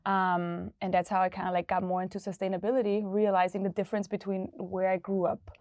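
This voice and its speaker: A woman from Austria talks about her company, stopping and restarting as she hunts for the right words. Her voice sits at a middle pitch and is recorded close, with a dry office sound. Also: little pitch movement, a little mellow, dry recording. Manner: searching, halting, many "like" fillers. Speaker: startup founder. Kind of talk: casual interview.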